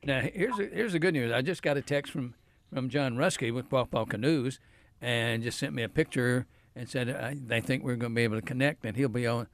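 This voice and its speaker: A man hosts a live radio show. His pitch is middling and the studio sound is close and dry. He chats casually and tells things in a folksy, anecdotal manner. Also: fairly quick, dry recording. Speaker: outdoor radio show host.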